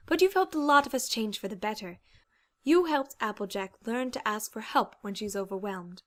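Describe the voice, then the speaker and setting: A woman's voice: on the high side, a bit bright. A woman voicing a refined, caring, insistent female pony with a genteel, theatrical manner in an audiobook. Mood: reassuring. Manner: genteel, polite, theatrical phrasing. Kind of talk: dramatized audiobook character acting.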